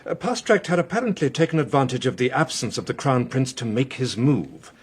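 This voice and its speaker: Husky, measured official. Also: male voice, mid-range voice, expressive. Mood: methodical explaining. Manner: methodical, courteous, authoritative. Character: measured, probing male British government official. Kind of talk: radio drama acting.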